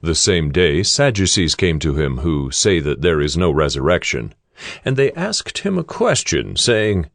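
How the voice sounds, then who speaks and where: A man narrating scripture in a deliberate, storytelling way. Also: low-pitched, sing-song, creaky, fairly bright tone, no room sound, clean studio sound.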